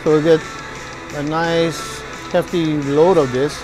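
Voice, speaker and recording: Man, 40s, high-pitched, upbeat chef, restaurant kitchen, faint music behind. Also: flat delivery, slow, a little mellow, poor audio. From Hawaii. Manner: upbeat, hypes the food to viewers. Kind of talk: cooking demonstration.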